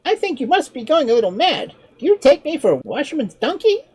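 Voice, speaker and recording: A woman's voice: high voice, sing-song, a little fast, a little mellow, voice pushed up. A woman voicing a clever, playful male monkey in a storybook reading, with a chirpy, teasing manner. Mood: mocking.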